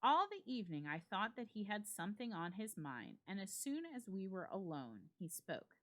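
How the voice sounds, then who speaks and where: Female narrator, mid-pitched and very varied in melody, for dramatic literary storytelling; measured, emphatic phrasing. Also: big pitch swings. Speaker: library staff reader. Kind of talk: dramatic reading of a classic ghost story.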